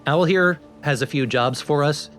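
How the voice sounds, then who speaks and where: A man's voice: a little high, unhurried, fairly bright tone, soft background music. A man hosting a let's play with casual, explanatory game commentary.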